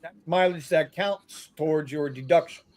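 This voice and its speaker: Male co-host, high voice, teasing, blunt, casual. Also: normal speed. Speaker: rideshare podcast co-host. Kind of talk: casual live-stream chat.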